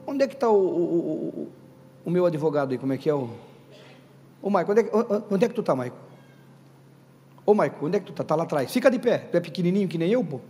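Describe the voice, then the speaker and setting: A man preaching a Pentecostal sermon to his congregation. He talks emphatically, calls out questions to the room and builds to loud stressed bursts. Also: fairly high pitch, lively intonation, quick pace, pauses often. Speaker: pastor. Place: church hall. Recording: reverberant hall with faint background music.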